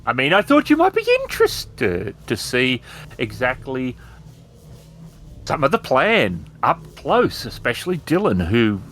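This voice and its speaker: A man's voice: mid-range voice, huge pitch swings, a little slow, a bit bright, a little hiss or hum, heavily acted. A man playing a smug, misanthropic villain robot with a theatrical, taunting delivery. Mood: taunting, gleeful.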